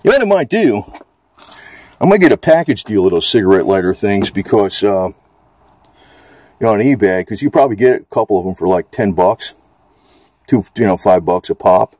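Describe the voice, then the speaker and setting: A man's voice: lowish voice, animated, normal speed, long pauses, a little mellow, no echo. An American man talking casually and off the cuff as he reviews a product, rambling and plain-spoken with some mild swearing. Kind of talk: casual unscripted product chat.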